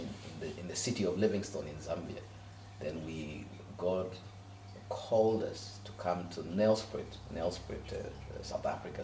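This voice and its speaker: A man's voice: low voice, mellow, reverberant, recorded from a distance, a little hiss or hum, low-quality recording. A Kenyan pastor in his fifties, easygoing and chatty, talking about his life in a house interview. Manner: easygoing, laughs often, many fillers. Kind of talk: relaxed interview answers. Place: living room in a house.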